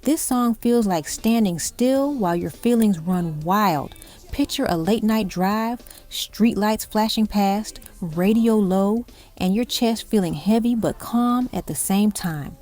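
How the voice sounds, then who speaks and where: A woman's voice: medium pitch, slow, mellow. A woman reading scripted music countdown narration, telling it like an evocative story in short dramatic phrases.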